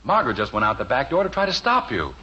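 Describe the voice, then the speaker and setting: Harried American father, 1950s radio sitcom, very animated, flustered, sardonic, dull hissy recording. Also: mid-range voice, quick pace. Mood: exasperated, wry. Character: harried middle-aged suburban father, nervously polite, wry.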